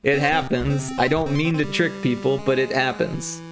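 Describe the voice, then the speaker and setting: A man's voice: mid-range voice, fairly even intonation, normal speed, over music, low-quality recording. A man in his forties, a game developer chatting casually on a livestream, rambling with lots of fillers and restarts. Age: 40s.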